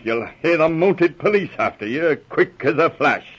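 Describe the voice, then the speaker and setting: An actor playing a genial, cautious older Scottish trading post factor, in a folksy 1940s radio drama manner. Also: male voice, fairly high pitch, animated, moderate pace, fairly bright tone, husky. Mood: warning. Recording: muffled old radio recording.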